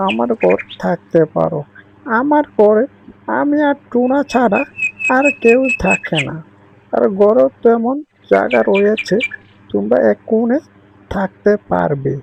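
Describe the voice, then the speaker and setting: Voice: mid-range voice, expressive, unhurried, gravelly, pauses often, darkish tone, highs cut off. A voice actor playing a kind, trusting female songbird who talks in a reassuring, welcoming way. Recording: noisy recording.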